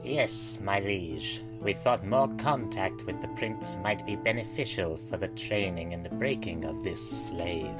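A man voicing a stern, servile reptilian henchman, deliberate and formal, in a fanfic reading. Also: sits low, loud music behind the voice. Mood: deferential.

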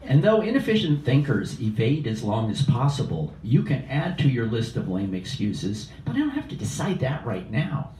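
A man in his sixties reading poetry live at an open mic in a measured, deliberate way, with casual asides. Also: mid-range voice, huge pitch swings, a little mellow. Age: 60s. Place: small indoor cafe open mic. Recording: roomy, distant cafe live stream with noise.

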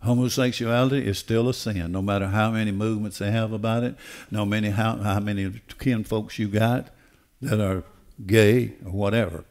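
Older male preacher, fairly deep voice, emphatic and deliberate delivery from a church stage. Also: steady tone, darkish tone. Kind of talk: sermon. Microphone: headset.

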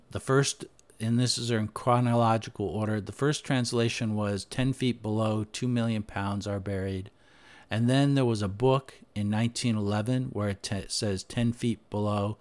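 A man presenting history research over slides, speaking off the cuff with frequent pauses, "uh"s and restarts. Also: fairly low pitch. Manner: unscripted, thinking aloud with frequent "uh" and restarts. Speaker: history podcaster. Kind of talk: screen-share presentation, talking over slides.

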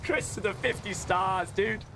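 A British man with a high-pitched voice streams a game and chats casually to his viewers. His banter is crude and full of swearing and jokes. The recording has clear noise behind his voice. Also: a little slow. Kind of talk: casual stream chat while gaming.

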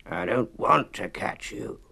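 A man voicing a sly, coaxing old fox in a children's storybook, with a slow, drawn-out growl. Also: fairly low pitch, lively intonation, creaky, sharp tone, slightly rough, heavily acted.

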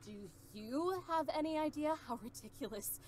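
A woman's voice: sits high, expressive, normal speed, a little mellow. A woman voicing a stubborn, defiant young female park ranger in a visual novel, with dramatic delivery.

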